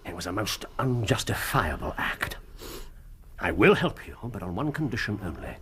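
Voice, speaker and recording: Radio drama lead: stern Victorian sleuth, upper-class English, precise, authoritative, highly modulated. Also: male voice, sing-song, normal speed, background noise. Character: commanding, stern, shrewd Victorian gentleman detective.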